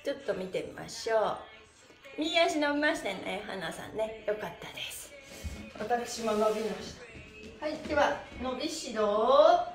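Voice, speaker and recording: A woman's voice: on the high side, lively intonation, moderate pace, far from the mic, music in the background. A middle-aged woman leading an exercise lesson, cheerful and playful, calling out instructions and rhythmic chants. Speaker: stretching instructor and host. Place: small indoor room with bare walls. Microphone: camera mic from a few steps away. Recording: echoey room, away from the mic.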